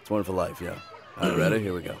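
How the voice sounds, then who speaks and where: A man playing a blunt, cynical, slovenly adult coach in a cartoon, talking in a casual deadpan. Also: deep voice, racing.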